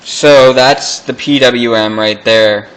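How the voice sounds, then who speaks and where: A man with a bright, clear voice talks through hobby electronics in a casual, informal way. He goes slowly and haltingly, explaining technical details piece by piece as he demonstrates. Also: mid-range voice, steady tone, low-quality recording. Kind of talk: casual explanatory product walkthrough.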